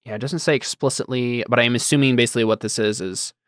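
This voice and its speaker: A man's voice: medium pitch, fairly even intonation, quick pace, a bit bright, dry recording, studio quality. A young man running a tabletop role-playing game as game master, talking casually and thinking aloud as he reads rules text.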